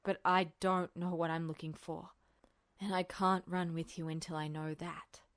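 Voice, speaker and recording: A woman voicing an older military man, a smug colonel who talks in a measured, earnest, insistent way, in an audiobook story. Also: lowish voice, level tone, a bit bright, no room sound, studio quality, voice pushed down. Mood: earnest, sad. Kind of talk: fan fiction audiobook dialogue.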